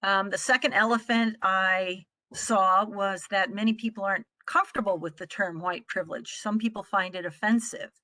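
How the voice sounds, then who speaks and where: American woman, middle-aged, bright crisp-edged tone, dry close sound, articulate explanatory presenter. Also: medium pitch, fairly even intonation, normal speed, hardly any pauses, no echo. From Midwestern United States. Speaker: trainer presenting on a conference call.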